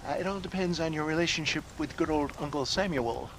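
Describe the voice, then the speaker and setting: An actor playing an elderly theatrical male con man in a video game cutscene, with flowery speech, frequent chuckles and drawn-out pauses. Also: fairly high pitch, fairly bright tone, a little hiss or hum, rough recording. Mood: jovial.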